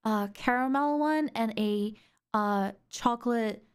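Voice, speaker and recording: A young woman streamer with a sore throat, chatting excitably and rambling with lots of fillers. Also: on the high side, slow, clear, resonant tone. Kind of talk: casual stream chat.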